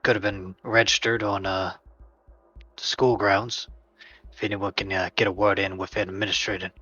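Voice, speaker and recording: A man playing a tabletop roleplaying game, haltingly describing his character's actions in casual phrases, on a lo-fi mic. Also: deep voice, little pitch movement, sharp tone, breathy edge, no room sound. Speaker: player. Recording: dull lo-fi but dry mic.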